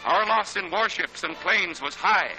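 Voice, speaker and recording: A man's voice: high voice, animated, unhurried, low-quality recording. An American man narrating a 1940s newsreel in a dramatic, declamatory manner. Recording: 1940s newsreel soundtrack with loud music.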